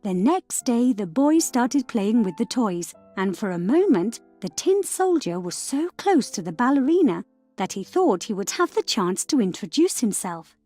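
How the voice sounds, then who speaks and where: Animated woman telling a fairy tale. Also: a little high, moderate pace, soft background music. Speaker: storyteller. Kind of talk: scripted fairy tale narration for children.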